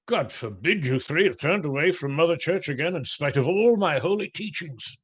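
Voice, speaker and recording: An actor playing a jovial, scolding friar in a radio play, with churchly phrasing. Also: male voice, a little high, expressive, normal speed, slightly rough, poor audio. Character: Friar Tuck, jovial portly priest. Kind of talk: radio drama acting.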